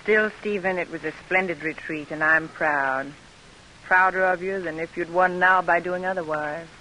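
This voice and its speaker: An actress playing a senator's devoted, gracious wife, tender and earnest, in an old-time radio drama. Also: female voice, on the low side, fairly even intonation, moderate pace, bright, forceful tone, poor audio. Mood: tender, concerned. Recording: hissy vintage radio broadcast recording.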